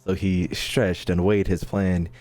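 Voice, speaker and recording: A man's voice: low-pitched, flat delivery, slow pace, darkish tone. A young American man giving casual, slangy, joking live game commentary as a streamer. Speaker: gaming streamer. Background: faint game music.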